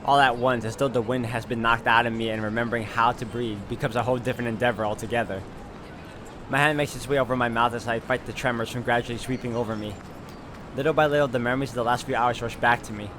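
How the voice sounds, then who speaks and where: A man's voice: mid-range voice, steady tone, fast, background noise. A man hosting a game walkthrough, reading visual novel narration aloud and adding his own asides. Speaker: video game walkthrough host.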